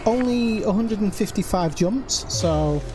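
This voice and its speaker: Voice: mid-range voice, animated, a little slow, darkish tone, slight background noise. A livestream host chatting casually with friends while playing, apologetic and thinking aloud with fillers. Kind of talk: casual gaming chat with friends.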